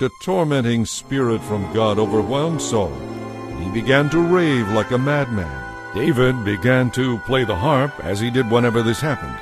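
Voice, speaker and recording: Expressive male Bible narrator, animated pitch. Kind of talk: dramatized scripted Bible narration.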